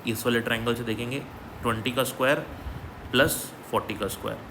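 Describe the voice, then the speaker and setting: A man's voice: mid-range voice, steady tone, fast, noisy background. Man explaining a physics exam problem. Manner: explanatory, repeats "ठीक है" as a check-in. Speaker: physics teacher.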